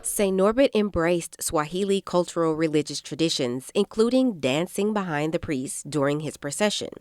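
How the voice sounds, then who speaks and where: Low-pitched woman narrating a radio news report. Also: lively intonation, normal speed, darkish tone, no room sound, studio quality.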